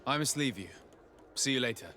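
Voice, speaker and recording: An actor playing a sincere, reserved young noble diplomat in a video game, speaking politely and formally. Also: male voice, mid-range voice, expressive, a little fast, sharp tone, a little hiss or hum. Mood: courteous. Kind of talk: video game dialogue acting.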